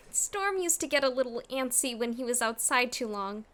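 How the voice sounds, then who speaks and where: A woman playing an excitable, chatty young girl with a bubbly, eager manner in an audio drama. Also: on the high side, normal speed. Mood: excited, playful.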